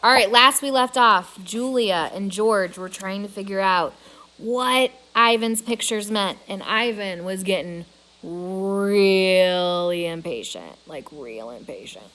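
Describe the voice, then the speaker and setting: A woman reading a children's novel aloud as a storyteller, in a measured and deliberate way. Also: mid-range voice, fairly bright tone, rough recording.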